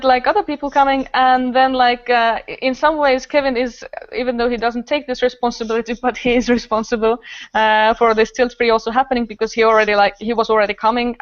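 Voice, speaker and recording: A woman's voice: on the high side, clear, resonant tone, no room sound, lo-fi sound. A woman chatting enthusiastically and in a rambling way, like a festival organizer on a podcast. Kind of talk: casual podcast conversation.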